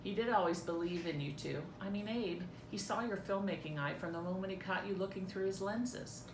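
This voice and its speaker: A middle-aged woman doing a dramatic read-through of a script as a mother, recorded indoors at home. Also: fairly quick. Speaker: amateur reader in a family script reading. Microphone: camera a few feet away. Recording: roomy echo, clear low rumbling noise.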